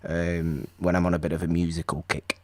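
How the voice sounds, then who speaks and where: A man's voice: low-pitched, little pitch movement, fairly quick, a little mellow, no echo. A man co-hosting a podcast, chatting casually about an old film and rambling with restarts. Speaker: podcast co-host.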